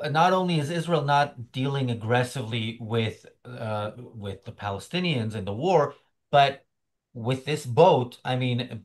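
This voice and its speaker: A middle-aged man hosting a political commentary chat over a video call, sardonic and halting, with many fillers. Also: medium pitch. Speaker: show host.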